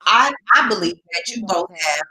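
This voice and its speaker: A woman's voice: fairly low pitch, animated, moderate pace, a crowd in the background. A woman hosting a casual podcast interview, speaking frankly and often agreeing with her guest. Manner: frank, affirming, lots of backchannel. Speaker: podcast host.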